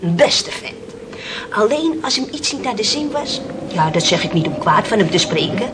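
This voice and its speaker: An actress plays a village housewife who chatters and exclaims with her neighbours. Her voice is of middling pitch and earthy in delivery. It is old radio drama under heavy noise. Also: big pitch swings, normal speed, lightly acted. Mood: jolly gossip. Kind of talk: stage-like radio play acting. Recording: very noisy old radio play recording.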